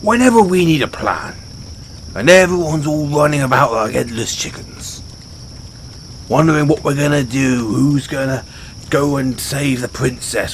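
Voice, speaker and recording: A British man voicing a dim, sheepish orc barbarian in a fantasy audio roleplay, speaking in a halting, blunt, stammering way. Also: medium pitch, slight background noise. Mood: earnest, admiring. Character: gruff but sheepish, dim orc barbarian adventurer, adult male. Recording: outdoor night ambience with crickets.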